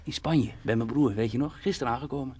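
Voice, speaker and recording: A man's voice: mid-range voice, quick pace, a little mellow, lightly acted. An actor playing a teasing, coaxing man on holiday, playful and wry, in a Dutch radio play. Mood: soothing, teasing. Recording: distant, slightly hissy old radio recording.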